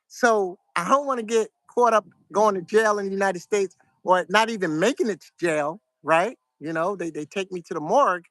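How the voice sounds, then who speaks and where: A middle-aged man from New York with a New York accent, giving casual, soft-spoken advice to his viewers in a fairly flat, monotone way. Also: sits high, normal speed, few pauses, dry recording. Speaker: vlogger.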